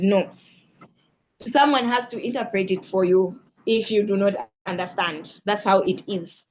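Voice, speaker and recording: A woman from Zambia with a Zambian accent, a guest speaker explaining at length in an online mentorship session, repeating herself and using many fillers. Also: on the high side. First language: Lozi.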